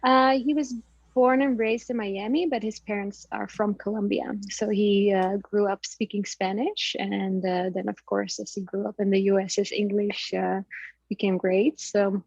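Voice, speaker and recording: A woman's voice: mid-range voice, flat delivery, moderate pace, gravelly, darkish tone, no echo. Dutch-speaking woman chatting, lots of uhs and laughs, kinda muffled dry audio. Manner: hesitant, many fillers, laughs often. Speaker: podcast guest. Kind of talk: casual conversation.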